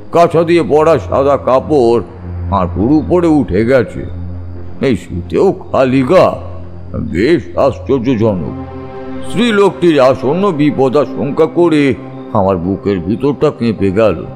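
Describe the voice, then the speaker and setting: A man narrating a scripted first-person ghost story in a suspenseful, measured storytelling manner. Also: mid-range voice, a little mellow. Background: background music.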